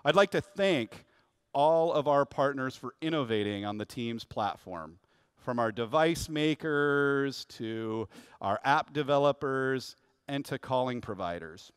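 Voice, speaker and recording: A middle-aged man delivers a polished, upbeat corporate keynote on a big conference stage. His voice sits at an ordinary pitch and sounds close and dry through a headset mic. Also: expressive, a little slow, clean tone, no echo. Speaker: corporate vice president giving a keynote. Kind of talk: scripted keynote presentation. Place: large conference stage, indoors.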